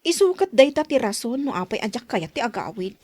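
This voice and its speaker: A woman's voice: a little high, sing-song, a little fast, darkish tone, no echo. A woman playing an affectionate, caring young adult daughter in an audio drama. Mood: concerned.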